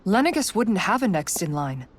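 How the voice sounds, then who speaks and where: An actress playing a logical, questioning young heroine in a video game. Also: female voice, mid-range voice, sing-song, normal speed.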